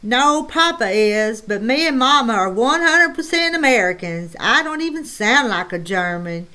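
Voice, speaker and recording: Eight-year-old pioneer boy, friendly and matter-of-fact, read by a woman slightly high. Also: animated, normal speed, bright, forceful tone. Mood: eager.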